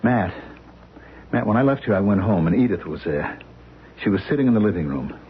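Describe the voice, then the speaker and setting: An actor playing an anxious husband who stammers and repeats himself, in a radio drama. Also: male voice, on the low side, lively intonation, a little fast, slight background noise, close to an everyday voice. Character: anxious middle-aged man, husband, frightened and pleading. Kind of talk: 1970s radio drama acting. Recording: muffled lo-fi old radio recording.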